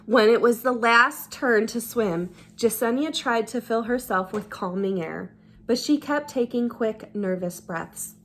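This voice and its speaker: A woman reads a children's story aloud in a fairly high voice. She takes her time, going very slowly through each phrase. Her delivery is expressive and deliberate, shaped for dramatic storytelling. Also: a little hiss or hum. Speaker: storyteller reading a children's book. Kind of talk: expressive read-aloud narration.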